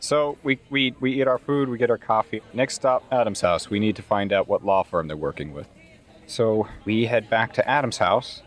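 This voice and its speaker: A man with a slightly low voice plays a tabletop game. He explains plans methodically and casually, slipping in and out of character. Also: moderate pace. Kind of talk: casual in-game planning and roleplay.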